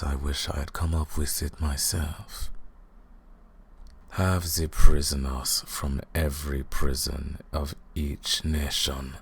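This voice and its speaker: Casting: arrogant vampire lord for ASMR roleplay, put-on foreign accent, extremely low and husky, cruel, theatrical taunting. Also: male voice, full character voice. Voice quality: gravelly, husky, breathy deep voice. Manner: slow, menacing, mocking, theatrical.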